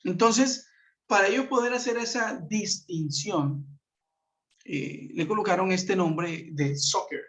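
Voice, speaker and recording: A man's voice: high voice, slow, fairly bright tone. A man from Colombia with a Colombian Spanish accent, teaching English in a web conference lesson and explaining with many fillers and tag questions. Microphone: computer mic on a video call. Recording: muffled lo-fi web call audio.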